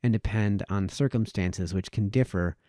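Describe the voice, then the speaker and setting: A man reading a formal historical essay aloud as narrator, in a deliberate, expository manner with varied emphasis. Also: fairly low pitch, warm tone, no room sound, studio quality. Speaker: reader, narrator.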